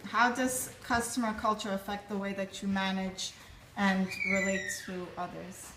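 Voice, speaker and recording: A woman's voice: medium pitch, flat delivery, moderate pace, background noise. Woman interviewer in an echoey room. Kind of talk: asking interview questions.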